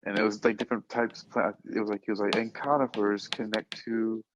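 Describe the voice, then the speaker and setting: Low-voiced man rambling on a muffled podcast. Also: flat delivery, a little fast, background noise. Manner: rambling, musing. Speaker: podcast co-host. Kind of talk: casual chat. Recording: dull, muffled lo-fi audio.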